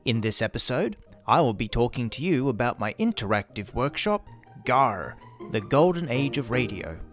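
A man's voice: mid-range voice, sing-song, moderate pace. A middle-aged man with an Australian accent, reading scripted promotional narration as a podcast host. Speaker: podcast host and drama workshop creator. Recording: music behind the voice. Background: music.

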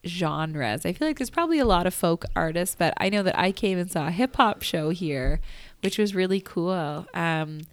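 A woman's voice: lowish voice, expressive, normal speed. A woman hosting a casual radio interview in a chatty, affirming way. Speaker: interviewer, radio show host.

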